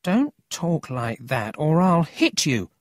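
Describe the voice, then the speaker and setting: An earnest, watchful country boy in a storybook, acted out by an adult reader. He speaks in a raised, lively pitch with a careful, serious manner and bristles easily. The sound is close and dry. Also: a little slow, no room sound. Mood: angry. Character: earnest, cautious country boy on a thief's trail.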